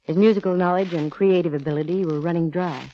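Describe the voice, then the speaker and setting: An actress playing a confident, wisecracking female private detective in a brisk, wry 1940s radio style. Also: lowish voice, fairly even intonation, mellow, natural voice. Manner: brisk, wry, self-assured. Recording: dull, hissy old radio recording.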